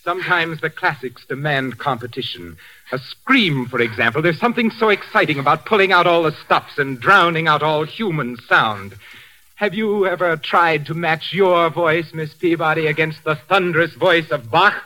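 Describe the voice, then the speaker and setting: An actor playing a calm, cruel male organist who murders, speaking softly in old-time radio drama style. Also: a little high, normal speed, poor audio. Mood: menacing. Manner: soft, silky menace turning to panic.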